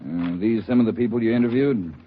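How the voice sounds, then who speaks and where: An American man acting a brisk, exacting chief of detectives in a 1950s radio drama, firm and clipped. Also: fairly low pitch, close to an everyday voice. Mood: businesslike. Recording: muffled vintage radio recording, faint noise.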